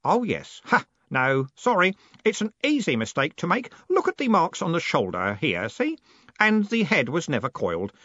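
A man's voice: fairly high pitch, wildly swooping pitch, moderate pace, pushed and bright, no room sound, highs cut off, pitched above the performer's own voice, heavily acted. A man voicing an earnest, obsessive boy apprentice in an audiobook, polite and thoughtful. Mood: absorbed.

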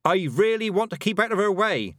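A man voicing a stubborn, quick-witted nine-year-old girl in a storybook, plain-spoken and defiant. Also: medium pitch, huge pitch swings, no echo, studio quality, pitched above the performer's own voice. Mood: insistent.

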